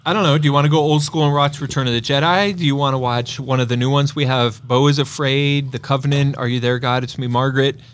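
A man's voice: medium pitch, steady tone, fast talker, few pauses, a little hiss or hum. A man co-hosting a casual movie podcast, joking around with playful exaggeration. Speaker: podcast co-host; movie theater operator.

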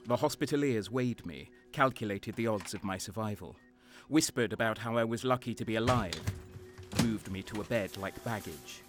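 Low-voiced, brooding soldier played by an actor. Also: male voice, normal speed, music in the background, close to an everyday voice. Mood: grim, wry. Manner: sardonic, brooding. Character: cynical, guarded wounded guardsman sergeant, war narrator. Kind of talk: first-person dramatic narration in sci-fi war audio drama.

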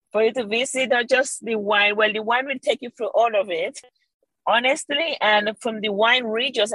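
A woman's voice: a little high, no echo, low-quality recording. A woman from Venezuela with a Venezuelan Spanish accent, talking about wine as a guest in a casual interview, enthusiastic and laughing often. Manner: warm, enthusiastic, laughs often, restarts phrases. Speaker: podcast guest, wine educator.

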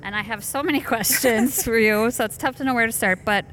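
A woman's voice: on the high side, a little fast, a bit bright, a little hiss or hum. A woman hosting a podcast, reading a scripted intro and questioning her guest with enthusiasm. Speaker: podcast host. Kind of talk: interview hosting.

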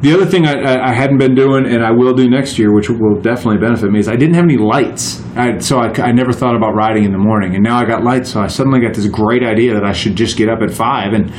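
A man's voice: mid-range voice, fast talker, hardly any pauses, darkish tone, roomy sound, slight background noise. A man in his forties, a guest on a podcast, telling his stories in a casual, rambling way with many restarts. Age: 40s. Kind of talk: casual chat.

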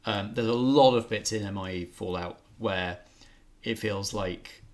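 Low-voiced man chatting casually about films. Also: a little slow. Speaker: arts show co-host reviewing films. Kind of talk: casual film discussion.

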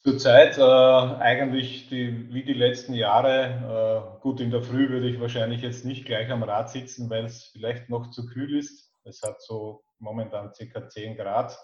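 A man's voice: medium pitch, level tone, unhurried, few pauses, darkish tone. A man in his fifties with an Austrian accent, talking casually and thoughtfully as an interview guest. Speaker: podcast guest. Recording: dull, echoey remote-call sound.

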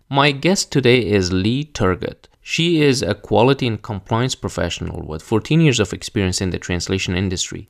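A man hosting a podcast interview, reading a formal guest introduction and then asking questions with hesitant fillers. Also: on the low side. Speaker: podcast host.

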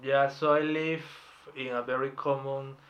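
A man in his thirties from Peru, talking in a relaxed, hesitant way as a podcast interview guest. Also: mid-range voice, a little slow, dull audio. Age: 30s. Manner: reflective, hesitant, with light laughs. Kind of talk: casual personal interview.

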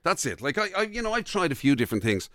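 A man's voice: a little high, very animated, fast, fairly bright tone, dry recording, studio quality. An Irish man co-hosting a radio show, chatting casually and colloquially and reading out listener messages. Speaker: radio breakfast show co-host. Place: radio studio, dry close sound.

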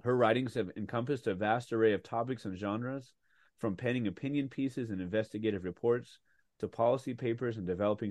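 Male podcast host, low voice, dry close recording, chatty and upbeat. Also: darkish tone, no echo. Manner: chatty, enthusiastic, with frequent fillers. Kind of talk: casual interview chat.

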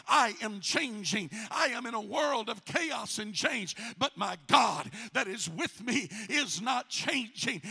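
Raspy, high-pitched man preaching fervently. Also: pushed and bright. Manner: emphatic, repetitive, exhorting delivery with dramatic swells. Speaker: pastor preaching to a congregation. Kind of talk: fervent Pentecostal-style sermon.

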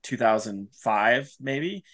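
A man as an interview guest, talking informally and reflectively, halting as he searches for his words. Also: medium pitch, expressive, a bit bright, no room sound. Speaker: podcast guest, writer and audio creator.

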